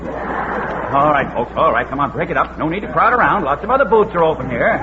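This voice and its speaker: A man's voice: a little high, fairly quick, close to an everyday voice. An actor playing a blustery, folksy farmer who fusses over his bull in a 1940s radio comedy. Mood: indignant. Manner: folksy, excitable. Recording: dull, noisy old radio recording.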